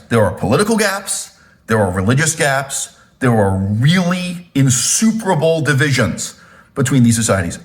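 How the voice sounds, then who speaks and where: A man giving a political commentary monologue to viewers, lecturing emphatically, stressing key words with sharp pitch jumps and checking in with "right?" and "okay?". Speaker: channel host.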